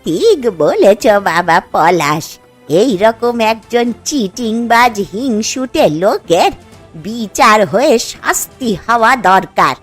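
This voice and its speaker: A woman's voice: fairly high pitch, big pitch swings, takes it slowly, soft background music, exaggerated voice. A woman playing a poor, feisty elderly village mother-in-law in a cartoon story, with a plaintive, homely manner. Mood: earnest. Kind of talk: Bengali cartoon story acting.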